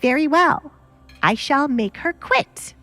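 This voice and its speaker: Spoiled aristocratic daughter, voiced by a female narrator, high-pitched, whiny and haughty, for audio drama. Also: lively intonation, slow pace, sharp tone, no echo, faint music behind, exaggerated voice. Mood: spiteful. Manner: whining, indignant.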